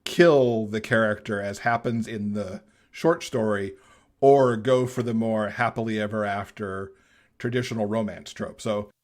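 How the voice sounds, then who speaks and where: A man's voice: on the low side, a little slow. A man speaking as a podcast guest, casually recommending books.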